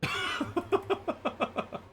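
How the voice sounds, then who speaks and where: A man's voice: extremely slow, music in the background. An American man streaming a game and chatting with viewers, rambling and joking, often restarting his words. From United States. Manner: rambling, joking, frequent stammered restarts and laughs. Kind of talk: casual chat with viewers while gaming.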